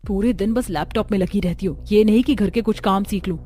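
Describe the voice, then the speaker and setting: A woman's voice: mid-range voice, brisk, dark tone, a little hiss or hum, close to an everyday voice. A woman voicing an aggrieved, argumentative young daughter-in-law in a Hindi family cartoon, with a nagging, indignant manner.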